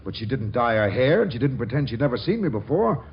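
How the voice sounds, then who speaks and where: Naive, smitten young groom, stammering and easily scandalised, 1940s radio comedy with dull, faintly hissy sound. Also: male voice, mid-range voice, big pitch swings. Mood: earnest, smitten. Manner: halting, stammering.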